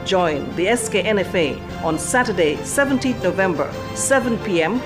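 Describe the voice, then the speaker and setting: A woman's voice: fairly low pitch, lively intonation, normal speed. A woman reading a scripted promotional announcement for an event. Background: clear background music.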